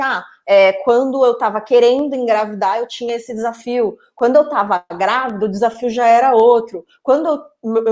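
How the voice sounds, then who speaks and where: Woman hosting a webinar, rather high. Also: darkish tone. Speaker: webinar host. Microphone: video call.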